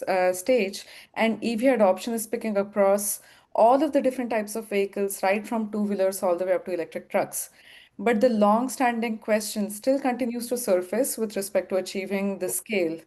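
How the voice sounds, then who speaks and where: A woman moderating a webinar panel, warm and measured in a formal way. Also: medium pitch.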